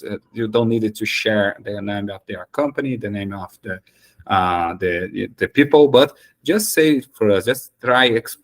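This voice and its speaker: A man's voice: lowish voice, fairly bright tone. A man in his thirties with a Brazilian accent, hosting a casual conversational interview and often rephrasing himself. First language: Portuguese. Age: 30s. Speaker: host.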